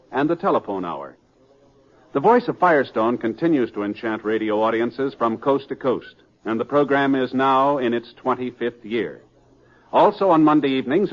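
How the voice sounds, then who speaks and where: A man with an American accent reading a scripted network promo as a formal, polished 1950s radio announcer. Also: mid-range voice. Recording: dull vintage 1950s radio recording.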